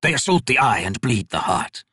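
A man voicing an ancient, sickly male god, speaking slowly and gravely with a sneer. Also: mid-range voice, very animated, bright, forceful tone, no echo, clean studio sound, full character voice. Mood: mocking.

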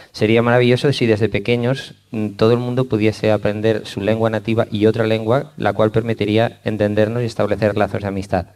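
A man's voice: fairly low pitch, a little mellow. A man with a Spanish accent from Spain, working as an interpreter relaying a talk to an audience.

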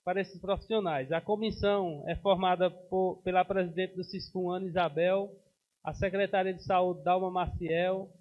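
High-voiced, flat-toned councilman at a podium. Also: muffled recording. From Brazil. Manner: formal, steady, with frequent hesitations. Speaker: city councilman. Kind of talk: formal speech at a council session. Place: council chamber. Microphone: podium microphone.

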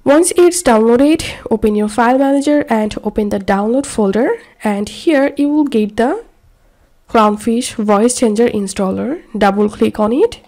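A woman narrating a scripted software tutorial, giving step-by-step instructions. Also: on the high side, a little slow.

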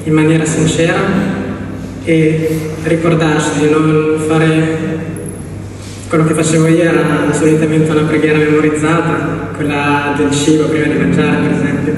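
Guy giving an earnest sermon, bit halting, highish voice, super echoey church, mic far off. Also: a little mellow. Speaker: church preacher. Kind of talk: sermon with scripture reading. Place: large reverberant church hall. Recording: very echoey hall, distant mic, background noise.

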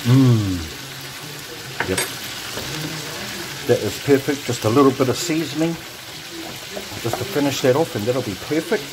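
A relaxed man talks his way through cooking a meal at home, pausing for ums as he stirs and checks the pots. His voice sits at an ordinary middle pitch. It is caught on a phone over the stove, with clear kitchen noise and sizzling behind him. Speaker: home cook vlogger. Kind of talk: casual cooking commentary. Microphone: phone camera held over the stove. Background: sizzling and bubbling pots.

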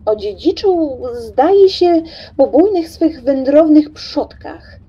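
A woman narrating a literary radio play in a dramatic, deliberate, theatrical way. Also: sits high, animated, warm tone, highs cut off.

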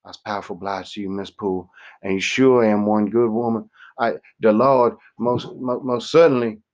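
A man's voice: fairly low pitch, steady tone, moderate pace, dull audio, natural voice. A man voicing a big, frightened Southern man on the run, begging in a shaky, stammering voice.

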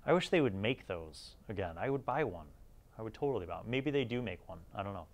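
A man's voice: on the low side, animated, quick pace. A man hosting a gaming review, talking casually and unscripted, thinking aloud with conversational asides. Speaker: host of a retro gaming review series. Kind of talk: casual unscripted review while leafing through a magazine.

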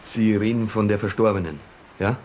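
An actor playing a businesslike lead police investigator in a radio crime drama, directive and probing, with a husky voice. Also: male voice, fairly low pitch, normal speed, a little mellow, slight background noise, lightly acted. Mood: stern. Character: businesslike male police investigator leading the case. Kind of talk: naturalistic German radio crime drama acting.

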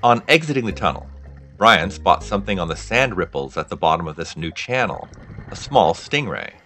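Low-voiced man narrating, lively intonation. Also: moderate pace. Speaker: narrator, scuba diver. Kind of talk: scripted nature documentary narration.

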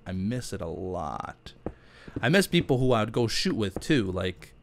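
A man's voice: lowish voice, sing-song, a little slow, slight background noise. A man giving casual, chatty let's play commentary in an informal, self-deprecating and jokey way. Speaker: gaming YouTuber host.

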